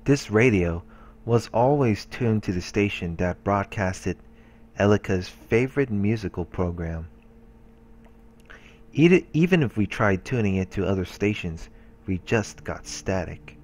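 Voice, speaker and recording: A man hosting a let's play, reading a visual novel's narration aloud in a calm, measured way. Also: fairly low pitch. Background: game music and voices.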